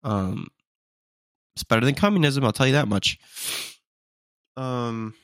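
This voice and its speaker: A man's voice: medium pitch, measured pace, no echo, studio quality. A young man hosting a casual solo podcast, sounding congested and sniffly as he chats in a rambling, self-deprecating way. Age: young adult. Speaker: podcast host.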